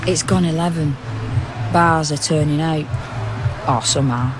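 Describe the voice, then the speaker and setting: An actor narrating a poetic radio drama as a solemn, all-seeing storyteller, speaking in measured, rhythmic verse. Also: mid-range voice, loud music behind the voice, lightly acted. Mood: hushed, lyrical. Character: solemn omniscient storyteller of a city at night.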